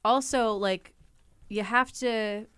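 A woman's voice: a little high, fairly even intonation, slow, a bit bright, clean tone, dry recording, clean studio sound. A young woman singer answering interview questions in a chatty way, with frequent "um" and "like" and little laughs. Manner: chatty, laughs often, fillers like "um" and "like".

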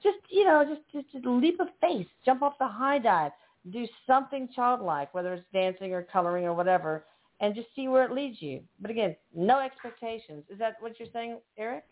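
A woman's voice: on the high side, expressive, brisk. A woman hosting a call-in radio show, upbeat and encouraging with callers. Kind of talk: casual call-in radio talk. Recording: phone-like radio line.

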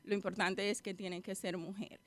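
A woman's voice: mid-range voice, level tone, normal speed, no echo. A middle-aged woman, explaining things earnestly as a guest in a live interview. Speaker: guest, hairstylist and women's organization leader.

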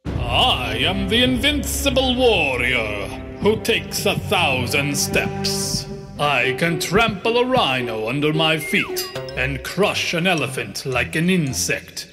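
A man's voice: big pitch swings, over music. A man voicing a tiny centipede who poses as an invincible warrior, in a pompous, declaiming storybook style. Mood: boastful, menacing. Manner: pompous, slow booming declamation. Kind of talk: children's storybook character acting.

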